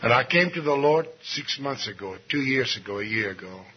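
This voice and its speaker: A man preaching a sermon, swinging between low asides and raised exclamations and reading scripture slowly and deliberately. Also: lively intonation. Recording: dull lo-fi recording with faint noise.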